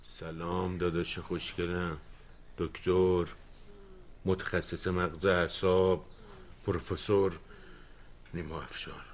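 A man playing a slow, spaced-out brother. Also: low voice, level tone, background noise, lightly acted. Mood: dreamy. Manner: slow, distracted, hushed. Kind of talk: sitcom acting.

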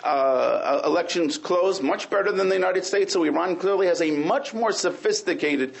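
A man's voice: high-pitched, normal speed, creaky. A man speaking as a panel guest in a heated debate, insistent and pushing to be heard.

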